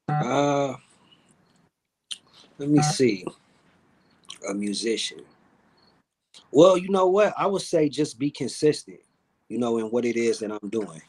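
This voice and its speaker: A man, a guest rapper, answering a question thoughtfully with pauses. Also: mid-range voice, breathy edge, low-quality recording. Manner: thoughtful, pausing. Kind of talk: casual interview answer.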